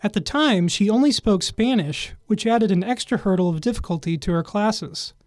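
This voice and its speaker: Guy reading a news piece, pretty high voice, dry close sound. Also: expressive, darkish tone, no room sound. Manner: measured reading. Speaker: news reporter narrating. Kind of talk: scripted news narration.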